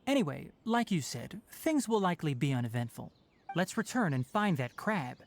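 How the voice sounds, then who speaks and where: An actor playing a composed young male forest ranger mentor in a video game, speaking in a measured, formal, matter-of-fact way. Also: fairly high pitch, very animated, slight background noise. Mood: calm, instructive.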